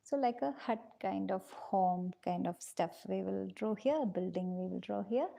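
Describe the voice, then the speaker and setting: A woman teaching a live online drawing class, gentle and patient, calling her students dears and guiding them step by step. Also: normal speed, gravelly. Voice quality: low-pitched voice, in her own words.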